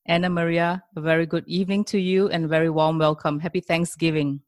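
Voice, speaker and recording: A woman hosting a podcast interview in a formal, courteous way, deliberate and effusive with her compliments. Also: lowish voice, flat delivery, a little mellow, dry recording, clean studio sound. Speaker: podcast host.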